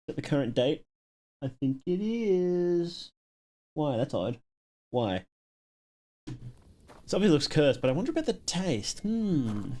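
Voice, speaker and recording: A man's voice: on the high side, big pitch swings, unhurried, long pauses, dark tone. A man giving casual live commentary as a streamer, with wry, joking asides. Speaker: streamer reacting to a horror game video. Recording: music playing behind the voice. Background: music.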